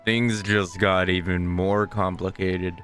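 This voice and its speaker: Laid-back, slow-talking gaming commentator man. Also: sits low, flat delivery, fairly bright tone, soft background music. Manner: laid-back, dry, short asides. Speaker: gaming YouTuber. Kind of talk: casual gameplay commentary.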